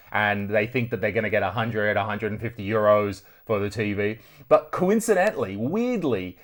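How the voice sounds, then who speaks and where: An Australian man hosts a casual story-time video. He speaks at a middling pitch in an animated, conversational manner, swearing freely as he goes. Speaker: retro tech video host.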